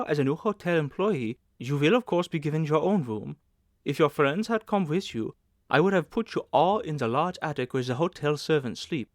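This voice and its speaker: Man playing a stout older hotel manageress, raised pitch, kindly, nervous, emphatic, talkative, audiobook character, dry close sound. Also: dry recording.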